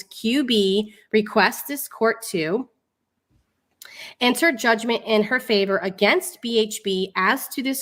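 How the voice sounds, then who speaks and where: A woman's voice: mid-range voice, fairly bright tone. A woman hosting a live online commentary, moving between reading aloud and casual asides in an informal, sarcastic, mocking way. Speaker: livestream host.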